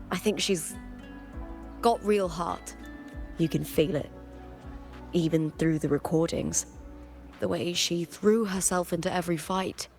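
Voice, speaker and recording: A woman's voice: on the low side, slow, pauses often, a little mellow. An actress voicing a confident, friendly and earnest young female warrior in a video game. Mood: earnest, reflective. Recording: music under the voice.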